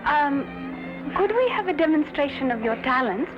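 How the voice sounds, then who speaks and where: An actress playing a refined, flirtatious Spanish rancher's wife in a western drama, coy and polite. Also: female voice, high-pitched, expressive, unhurried. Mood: coy, teasing. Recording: dull soundtrack, music and crowd behind.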